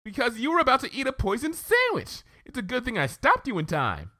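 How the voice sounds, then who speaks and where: A man voicing a bubbly young female cartoon pony in a story reading, with a perky, breezy, excitable manner. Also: very high-pitched, fairly quick, no room sound, clean studio sound, voice pushed up, exaggerated voice. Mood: relieved.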